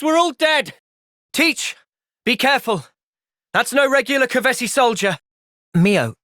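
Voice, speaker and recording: A man with a British accent playing a thoughtful, gentle young soldier in video game cutscenes, measured and earnest. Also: on the high side, no room sound, studio quality. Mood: urgent, shouting.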